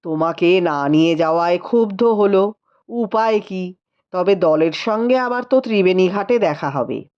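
A woman's voice: on the low side, lively intonation, moderate pace, darkish tone, clear, resonant tone, muffled recording, pitched below the performer's own voice, close to an everyday voice. A woman voicing a learned, caring husband with a formal, questioning manner in a storybook reading. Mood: puzzled, questioning. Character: learned physician husband, adult man, caring, puzzled.

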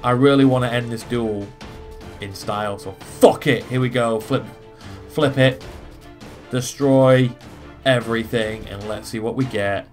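A man hosts a casual gaming video, commentating on his own play. He swears freely and talks each move through as he goes. His voice is slightly low in pitch, and game music plays behind him. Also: steady tone, measured pace.

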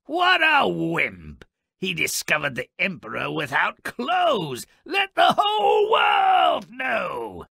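An actor voicing a spiteful, mischievous gnome-like creature who narrates a video game tale with sardonic, theatrical relish in a gravelly, raspy voice. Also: male voice, sits high, wildly swooping pitch, takes it slowly, sharp tone, no echo, raised voice, clean studio sound, exaggerated voice. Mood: gleeful spite. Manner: sardonic, exaggerated, relishing malice.

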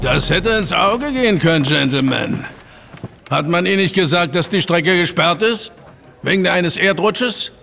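Plain-spoken male rural track worker for audio drama, creaky voice pitched a little high. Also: lively intonation, measured pace, pushed and bright, soft background music, full character voice. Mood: matter-of-fact. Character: matter-of-fact male railway workman in rural Britain. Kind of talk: scripted radio drama acting.

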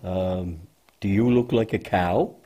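Guy doing a deep mellow cow puppet lady, husky, patient, explains stuff gently, kids church show. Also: slow pace. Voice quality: deep for a female figure.

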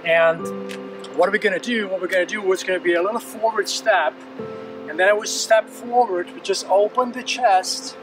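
High-voiced coach heard from afar outdoors. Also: male voice, normal speed. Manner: calm, encouraging, repeats words often. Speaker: fitness coach. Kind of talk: guided stretching instruction. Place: outdoors on a windy seaside headland. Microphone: far camera. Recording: distant outdoor audio with music.

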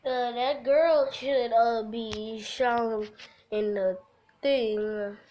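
A woman's voice: on the high side, fairly even intonation, extremely slow, dark tone, low-quality recording. A giggly woman hosting a casual game livestream, chatting and reading aloud from a visual novel. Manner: giggly, breaks off into laughter. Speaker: livestream host.

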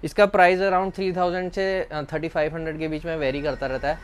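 A man's voice: on the high side, little pitch movement, fast, a little hiss or hum. A man in his thirties with an Indian accent, explaining products to camera in an informal, instructive vlog style. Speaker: vlog host and bike accessories seller. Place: small indoor accessories shop.

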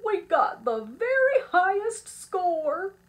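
A woman's voice: fairly high pitch, sing-song, slow, a little mellow, voice pushed down. A woman voicing a fretful, weepy male school principal from a children's storybook, with theatrical, declamatory delivery. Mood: jubilant. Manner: theatrical, sobbing, declamatory announcements.

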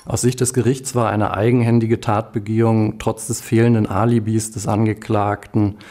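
A man in his forties giving formal, measured legal statements as a court spokesman. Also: on the low side, flat delivery, mellow. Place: courtroom, indoors.

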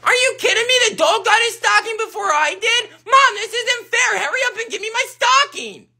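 A woman's voice: very high voice, normal speed, gravelly, voice pushed up, heavily acted. A woman voicing an impatient, whiny young boy, the middle child, in a comedy skit. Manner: whiny, pleading, shouty.

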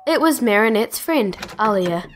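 A woman's voice: on the high side, lively intonation, normal speed, over music. A woman narrator reading a scripted children's adventure story in storybook style.

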